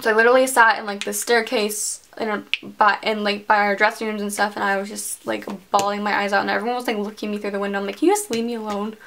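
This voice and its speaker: A woman's voice: mid-range voice, little pitch movement, quick pace, vocal fry, a bit bright, slight background noise. Chatty teenage girl telling a story. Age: teens. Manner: chatty, heavy use of "like". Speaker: vlogger. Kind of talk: casual storytime vlog.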